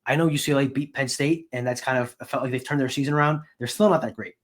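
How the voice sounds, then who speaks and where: A man's voice: mid-range voice, fairly even intonation, fast. Male sports podcast co-host and beat reporter, mid-range voice, casual conversational analysis.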